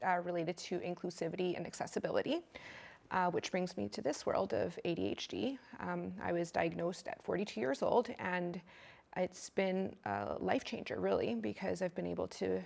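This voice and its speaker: A woman in her forties, an educator, earnestly describing her life in an interview with many pauses. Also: on the low side, gravelly. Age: 40s. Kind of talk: interview testimony.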